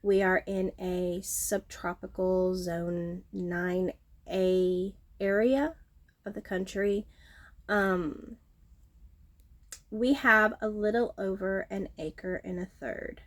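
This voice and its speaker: A middle-aged woman with a nasal, sniffly voice, chatting casually on her home vlog and filling pauses with ums. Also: medium pitch, fairly even intonation. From Texas Gulf Coast. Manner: frequent ums, self-deprecating, chatty. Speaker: vlogger, horticulturist. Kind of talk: casual talking-to-camera vlog. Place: outdoors at home, front porch.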